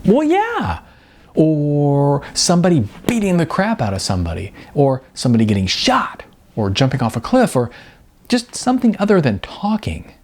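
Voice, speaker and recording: A bubbly young woman, an aspiring actress in an audiobook story, acted out by the narrator. She is blunt but supportive, with swooping, animated delivery and a slightly rough edge. She teases freely. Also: medium pitch, moderate pace, pitched above the performer's own voice. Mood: earnest, exasperated. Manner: animated, casual, hedging in bursts.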